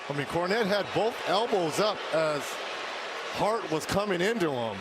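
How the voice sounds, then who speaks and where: A man's voice: high-pitched, animated, normal speed. Male basketball analyst over background noise. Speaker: colour analyst. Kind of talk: live basketball broadcast analysis. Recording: broadcast with clear background noise.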